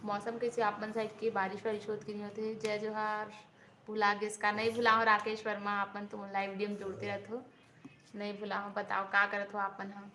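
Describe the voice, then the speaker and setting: A woman's voice: mid-range voice, completely flat delivery, a little fast, pushed and bright. A young woman with a Chhattisgarhi accent, chatting casually with viewers on a live stream and asking them to subscribe. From Raipur district, Chhattisgarh. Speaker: vlogger hosting a live stream. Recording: echoey room, faint hiss.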